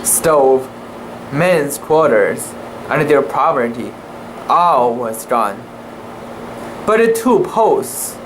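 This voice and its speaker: An amateur narrator giving a deliberate, halting dramatic reading of a classic sea story in the first person, stumbling over words now and then. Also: medium pitch, a little hiss or hum, rough recording.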